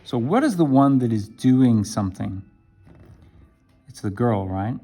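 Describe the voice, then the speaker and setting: A man teaching an English lesson to camera, talking slowly and deliberately and checking in with "right?". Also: medium pitch, animated, warm tone, faint music behind. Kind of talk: explaining a language lesson to camera.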